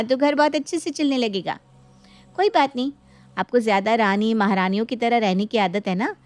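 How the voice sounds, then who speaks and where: High-pitched, bossy, taunting younger sister, acted by a woman. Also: no echo. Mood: mocking, bossy. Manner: taunting, mock-polite, domineering. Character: greedy, bossy younger married sister, taunting her family. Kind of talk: dramatized Hindi moral story acting.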